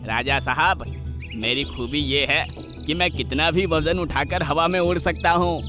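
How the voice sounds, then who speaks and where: A man's voice: fairly high pitch, unhurried, music in the background, natural voice. Man as a confident, strong suitor bird. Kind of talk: animated moral story dialogue.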